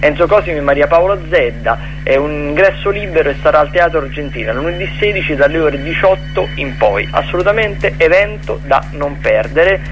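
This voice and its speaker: A man's voice: on the high side, expressive, fairly quick, few pauses, muffled recording, soft background music. A man co-hosting a radio show, giving casual event listings in a rambling, hesitant way. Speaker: radio co-host.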